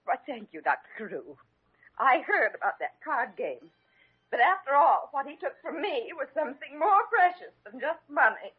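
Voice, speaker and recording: An actress playing a prim, huffy, lovelorn hospital nurse, theatrical, in 1940s radio style. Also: female voice, high voice, sing-song, moderate pace, phone-quality sound. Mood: heartbroken. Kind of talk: 1940s radio comedy acting. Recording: thin, band-limited old radio recording.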